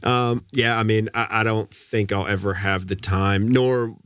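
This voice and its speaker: A middle-aged man from the United States with a lowish voice talks in a loose, halting way, full of fillers and easy laughs. The sound is close and dry. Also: fairly even intonation, a bit bright, clean tone, dry recording. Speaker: podcast guest, drummer. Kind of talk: casual conversation.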